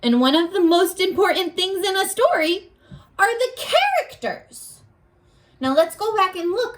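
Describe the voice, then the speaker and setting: A woman teacher leading a read-aloud lesson for young children, guiding them with simple questions and encouragement. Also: very high-pitched. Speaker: elementary school teacher.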